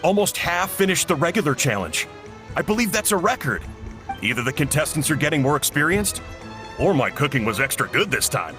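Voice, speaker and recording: A genial uncle and proud cook in a video game. His voice sits a little high for a man and moves expressively, with faint music underneath. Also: big pitch swings, a little fast. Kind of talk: animated game character dialogue.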